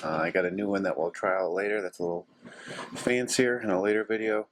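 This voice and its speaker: A man's voice: sits low, fast talker. A middle-aged man in a casual, unassuming hands-on tutorial, thinking aloud as he works. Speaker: host of a DIY repair vlog. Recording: steady machine noise in background, 3D printer. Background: 3D printer running.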